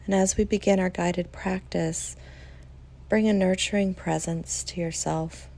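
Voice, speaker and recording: Female meditation guide: mellow, relaxed, slightly low voice, gentle and soothing delivery with unhurried pauses. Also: gravelly. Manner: soft, soothing, with long pauses.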